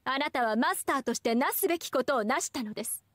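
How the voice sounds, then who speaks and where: A woman's voice: high-pitched, expressive, quick pace, fairly bright tone, dry recording, clean studio sound. An actress voicing a proud, elegant young goddess in an anime game, with pompous, ornate ladylike speech. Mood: confident, gracious.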